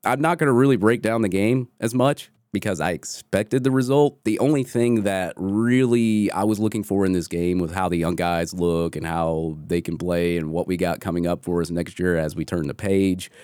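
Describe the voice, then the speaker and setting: A man hosting a sports podcast, casually recapping a game, his voice hoarse from a cold. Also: low-pitched, darkish tone, dry recording, studio quality.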